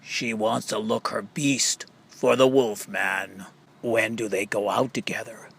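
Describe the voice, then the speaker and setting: A man with a creaky, gravelly edge reads jokes and riddles for children. He takes his time, setting up each question and stretching out the punchline. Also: on the low side, steady tone, a bit bright. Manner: question-and-answer delivery with drawn-out punchlines. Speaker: reader.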